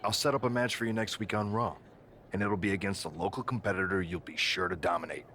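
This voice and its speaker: A placating wrestling boss, speaks in a low voice with managerial reassurance. He waves worries away in game cut-scene dialogue, with faint noise behind. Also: steady tone, fast, bright, forceful tone. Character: smooth-talking, placating male wrestling general manager.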